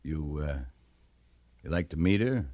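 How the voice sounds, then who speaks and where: A man playing a steady, laconic cavalry captain in an old-time radio western, speaking with measured authority. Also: very deep voice. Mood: dry, understated.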